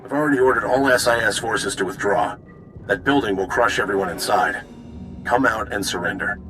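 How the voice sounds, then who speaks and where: Actor as male intelligence director, authoritative, measured, lower pitch, video game drama, clear background music. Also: lightly acted. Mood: firm, persuasive.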